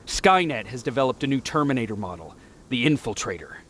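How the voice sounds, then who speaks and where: Game role: terse, impatient resistance fighter, forceful and animated, faint noise behind. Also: male voice, medium pitch, fairly quick. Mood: urgent, impatient. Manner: blunt, impatient. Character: terse, driven male resistance soldier. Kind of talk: video game voice acting.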